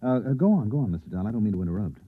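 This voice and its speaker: An actor playing a calm newsman who patiently humors a stranger with hesitant, soothing questions, in old-time radio drama style. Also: on the low side, very fast, husky, dry recording. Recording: phone-like, narrow vintage radio recording.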